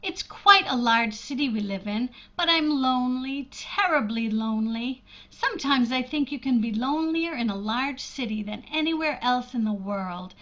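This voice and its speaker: A woman's voice: high voice, animated, normal speed, hardly any pauses, a bit bright, muffled recording. A woman playing a shy, lonely older sister from an old-time radio drama, hesitant, earnest and self-deprecating. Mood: lonely, confiding.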